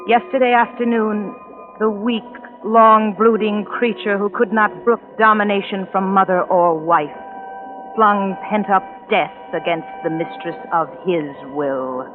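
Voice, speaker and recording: A woman's voice: medium pitch, unhurried, natural voice. An actress playing an anxious, horrified woman friend, earnest and haunted, in 1940s radio drama style. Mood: haunted, grave. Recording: narrow old radio recording with music.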